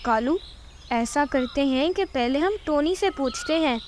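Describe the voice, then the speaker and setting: A woman's voice: sits high, expressive, unhurried, darkish tone, slight background noise. A woman playing a greedy, haughty female crow, a boastful and demanding wife in a children's cartoon. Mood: greedy, impatient. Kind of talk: Hindi cartoon dubbing.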